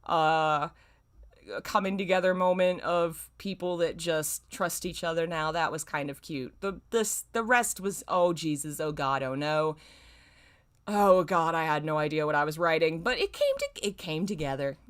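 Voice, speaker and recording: A woman's voice: low voice, lively intonation, normal speed. A woman hosting a casual livestream, talking in a self-deprecating, dramatically exasperated way. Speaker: livestream host. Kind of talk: casual livestream chat, reading own old fan fiction.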